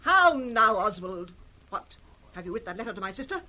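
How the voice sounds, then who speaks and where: A woman's voice: medium pitch, big pitch swings, moderate pace, sharp tone. An actress playing an imperious, scornful, scheming British noblewoman in 1960s radio Shakespeare on a noisy vintage recording. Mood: commanding.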